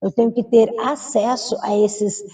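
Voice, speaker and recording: A woman's voice: a little high, little pitch movement, a little slow, mellow, recorded from a distance, low-quality recording. A woman speaking as a guest expert, explaining patiently with rhetorical questions and hesitant fillers. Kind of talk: studio discussion.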